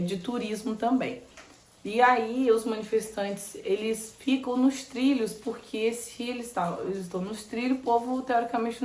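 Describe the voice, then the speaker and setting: A Brazilian woman travel vlogger who is losing her voice from sinusitis, talking casually and explaining things step by step, often adding "né?". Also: medium pitch. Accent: Brazilian Portuguese. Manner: chatty, explains step by step with many "né?". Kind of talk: casual travel vlog talk. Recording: echoey room with faint ambient noise.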